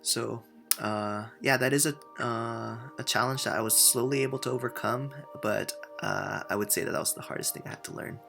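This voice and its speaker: Young Japanese man, gravelly voice. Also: mid-range voice, steady tone, moderate pace, over loud music. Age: young adult. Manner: earnest, with many filler sounds. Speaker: university student. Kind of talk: answering interview questions.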